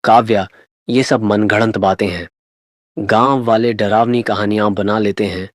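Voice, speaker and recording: A man's voice: lowish voice, fairly even intonation, normal speed, no room sound, studio quality, lightly acted. A man playing a sceptical, questioning young man back in his home village in a horror story. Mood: dismissive. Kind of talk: dramatized horror story dialogue.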